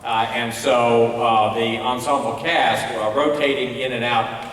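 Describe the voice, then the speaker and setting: Man speaking haltingly in a very echoey hall. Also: medium pitch, fairly even intonation, fairly bright tone. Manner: halting, searching for words. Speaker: festival judge giving critiques. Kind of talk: spoken awards feedback to an audience. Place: large indoor auditorium. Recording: distant echoey hall PA, long reverb, faint noise.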